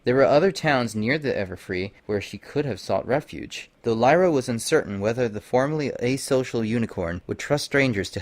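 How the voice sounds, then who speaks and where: A man's voice: lowish voice, no room sound. Man narrating a story, very dry recording. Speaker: audiobook reader.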